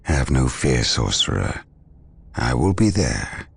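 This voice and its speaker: A man playing a solemn, proud scholar among space marines in a video game, speaking in a measured, formal way. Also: extremely low pitch, very animated, gravelly, breathy edge, exaggerated voice. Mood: proud, defiant.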